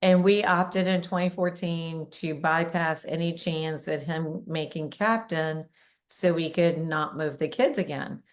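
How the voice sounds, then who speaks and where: A middle-aged woman with a raspy voice hosting a casual podcast, chatty and quick, laughing often. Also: low-pitched. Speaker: podcast host, realtor.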